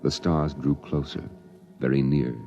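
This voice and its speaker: A man narrating a 1950s radio drama in a very deep, gravelly voice, in a measured, descriptive way. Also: level tone. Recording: dull vintage radio recording with music.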